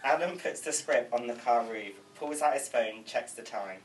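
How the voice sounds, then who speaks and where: A man's voice: mid-range voice, moderate pace. A man narrating scripted stage directions at a table read. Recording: slightly distant room mic, faint noise.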